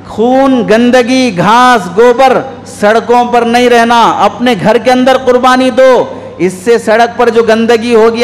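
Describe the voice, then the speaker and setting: High-pitched male preacher in an echoey hall. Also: a little hiss or hum. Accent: Indian. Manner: scolding, exhorting with rhetorical questions. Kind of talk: sermon. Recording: echoey hall with long reverb.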